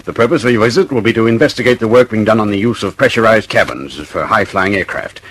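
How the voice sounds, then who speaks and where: An impatient, powerful British official in an old radio drama. His voice is lowish and husky, and he barks out clipped, commanding lines at a fast pace. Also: fairly bright tone. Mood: impatient, brusque. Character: brusque, impatient, powerful older British male government official. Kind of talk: mid-century radio drama acting.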